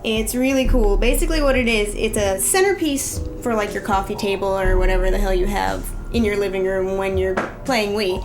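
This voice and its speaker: A woman's voice: mid-range voice, brisk, hardly any pauses. A woman giving a casual gadget review in a chatty, slangy, informal way. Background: prominent music.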